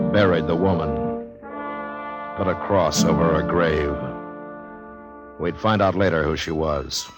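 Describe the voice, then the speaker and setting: An actor playing a level-headed Old West marshal in a 1950s radio drama, speaking in a measured, laconic, matter-of-fact way. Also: male voice, low-pitched. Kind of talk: 1950s radio western acting and narration.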